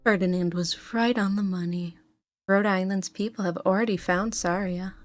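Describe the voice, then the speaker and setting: A woman voicing a sly, confident female commander in an amateur fan dub, teasing and unhurried. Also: mid-range voice, steady tone, dry recording, soft background music, close to an everyday voice.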